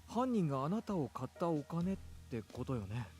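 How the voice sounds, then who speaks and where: A man's voice: fairly high pitch, very animated, fast talker, slightly rough. An actor voicing a man in a Japanese visual novel. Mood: low-key. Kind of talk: Japanese game voice acting. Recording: quiet game audio under music.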